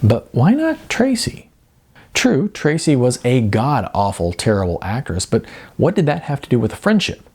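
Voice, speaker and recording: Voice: mid-range voice, sing-song, normal speed, darkish tone, breathy edge. A narrator reading a novel aloud as scripted storytelling. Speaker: audiobook narrator.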